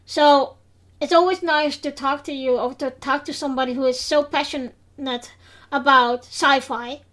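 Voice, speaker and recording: A woman with a high-pitched voice and a Dutch accent hosts a podcast in English. She talks casually and hesitantly, pausing often with fillers and restarting her sentences. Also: steady tone, fairly bright tone. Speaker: podcast host.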